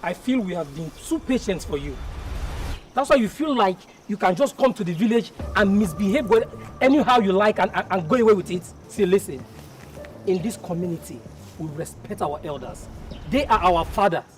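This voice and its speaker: A man's voice: high-pitched, animated, normal speed, recorded from a distance. A man acting a stern young village youth in a drama, with a Nigerian accent. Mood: stern, scolding. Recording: off-mic, faint music behind.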